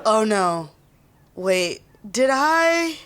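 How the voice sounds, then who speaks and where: A woman's voice: a little high, sing-song, drawn-out words, background noise, full character voice. A woman playing a groggy, hungover young slacker in a sitcom. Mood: dismayed.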